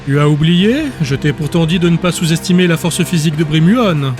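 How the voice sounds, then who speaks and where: A man voicing a smug, cruel male trainer who taunts his rival in a calm, condescending way in an audiobook. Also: on the high side, brisk, soft background music, natural voice.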